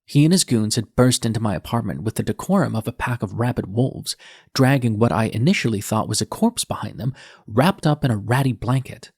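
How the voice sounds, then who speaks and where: A man's voice: mid-range voice, lively intonation, a little fast, darkish tone, slightly rough, no echo, studio quality. Sardonic young male nurse, closely recorded actor reading. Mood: wry, bitter. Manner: sardonic, edgy, profane. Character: defiant, bitter young male ER nurse telling his story. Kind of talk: first-person audiobook narration.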